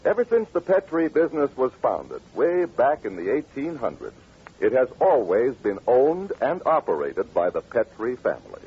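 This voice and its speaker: A muffled old radio recording of a male announcer with a polished sales delivery. His voice is very husky, medium in pitch and swings widely in scripted 1940s style. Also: huge pitch swings, normal speed.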